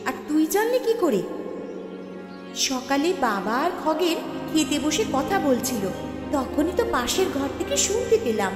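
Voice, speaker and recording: A woman's voice: high-pitched, big pitch swings, unhurried. A woman voicing a jealous, spoiled young village sister in a cartoon story, gossipy, snide and whiny, in an echoey recording. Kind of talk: Bengali cartoon story acting. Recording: echoey hall reverb, clear background music.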